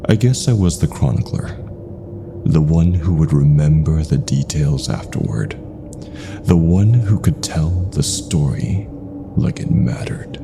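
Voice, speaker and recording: A man with a gravelly voice reading a scripted first-person horror story in a measured, brooding manner. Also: very deep voice, background noise.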